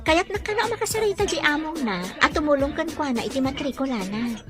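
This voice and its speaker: A woman's voice: high voice, expressive, fast, over music. A woman playing a friendly, chatty, teasing household cook in a drama, with an Ilocano accent. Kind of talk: acted dialogue in an Ilocano drama.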